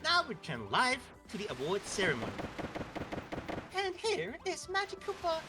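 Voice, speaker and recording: A woman acting as a brisk cartoon princess hosting an award show in a story read-aloud, with music behind her. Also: high-pitched, huge pitch swings. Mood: announcing.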